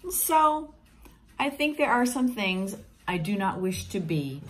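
A woman whose first language is Portuguese reads picture books aloud to children in a gentle, encouraging, singsong way. She is filmed at home on a close webcam. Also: medium pitch, sing-song, takes it slowly. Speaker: teacher reading picture books. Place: home room with plants, indoors. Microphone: webcam at close range.

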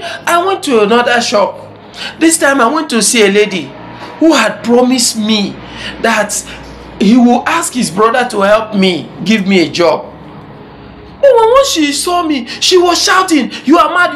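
A man's voice: very high voice, very animated, a little slow, a bit bright, faint music behind, heavily acted. A man playing a confused young man who pleads and recounts being turned away. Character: bewildered young man rejected everywhere. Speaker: job seeker.

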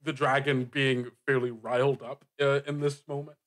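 A man improvising narration as a game master, casual and halting, with lots of filler words. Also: mid-range voice, level tone. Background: music bed.

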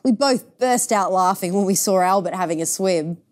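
A woman's voice: mid-range voice, moderate pace, natural voice. Woman reading as a chatty, blunt little girl. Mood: cheerful. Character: cheerful, blunt, easygoing young girl. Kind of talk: picture book read-aloud.

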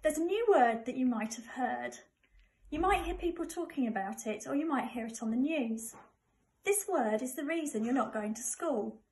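A woman's voice: high-pitched, a little mellow. A middle-aged woman with an English accent reads a children's information book aloud to primary school pupils. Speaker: primary school staff member reading to pupils.

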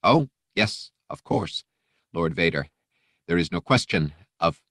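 A man's voice: low-pitched, expressive, measured pace, fairly bright tone, no room sound, studio quality, exaggerated voice. A man voicing a nervous, servile alien aide in an audiobook, hesitant and deferential, prone to stammering. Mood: anxious, servile. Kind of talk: audiobook character voice.

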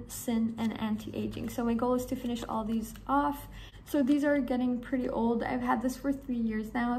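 A young woman with a hoarse voice, chatting enthusiastically to camera in a small room about her beauty products. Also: fairly high pitch, a little mellow, a little hiss or hum. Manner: chatty, enthusiastic, rambling with fillers. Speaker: beauty vlogger. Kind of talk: casual talk to camera.

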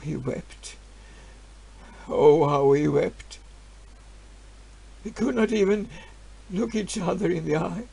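An actor playing a grieving, stubborn peasant farmer, telling his story in a halting voice that swings from hushed to loud. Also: sits high, creaky, breathy edge, heavily acted. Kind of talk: dramatic storytelling monologue.

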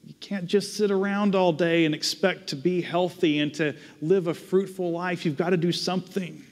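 A pastor in his thirties with a Southern US accent, preaching at a pulpit mic in a relaxed, self-deprecating way. His voice sits a little high for a man, and the large church hall lets it ring on with long reverb. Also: moderate pace. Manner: conversational, self-deprecating preaching, then earnest prayer. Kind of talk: sermon with closing prayer. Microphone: pulpit microphone.

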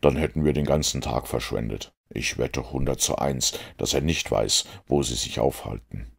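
A shrewd, methodical storybook detective acted out by a man reader speaks in an extremely low voice. It crackles with gravel as he lays out his reasoning deliberately, fully sure of himself. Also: exaggerated voice. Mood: self-assured. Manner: deliberate, explanatory.

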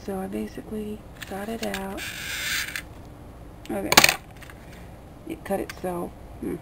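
A woman with a gravelly, creaky voice at a middling pitch walks through a hands-on demonstration. She talks casually and rambles in plain colloquial language. The homemade camera recording has clear background noise. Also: steady tone, slow pace. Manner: rambling, colloquial, mildly crude. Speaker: vlogger giving a how-to demonstration. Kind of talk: casual unscripted hands-on tutorial. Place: small dim room indoors at a table. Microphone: camera mic pointed at hands on table.

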